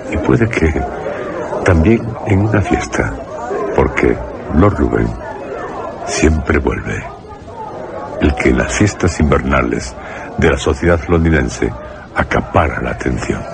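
A man playing the measured, ominous narrator of a gothic radio drama, recorded over noisy crowd murmur. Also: a bass voice, lively intonation, creaky, full character voice. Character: ominous male narrator of a gothic vampire tale.

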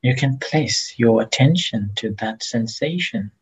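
A man leading a guided meditation as a teacher, speaking gently and haltingly with long pauses between phrases, in a husky, breathy voice. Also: medium pitch, lively intonation, rough recording.

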